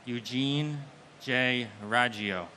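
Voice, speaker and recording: Man with a bright, forceful voice, reading names unhurriedly and solemnly at a memorial. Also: medium pitch, drawn-out words, a little hiss or hum. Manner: steady and solemn. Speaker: name reader. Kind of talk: memorial ceremony name reading.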